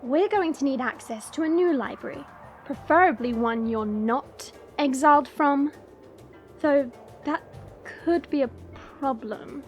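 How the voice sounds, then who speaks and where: Woman acting a high-pitched young dragon princess. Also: measured pace, faint music behind. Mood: imperious, teasing. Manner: breezy, teasing, imperious. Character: haughty, playful young female dragon princess. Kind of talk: fan audio roleplay acting.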